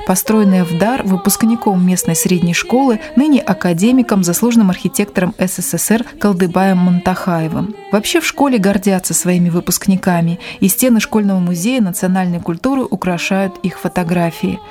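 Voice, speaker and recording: A woman reporter reading scripted documentary narration in a measured, formal way. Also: on the low side, mellow, faint music behind.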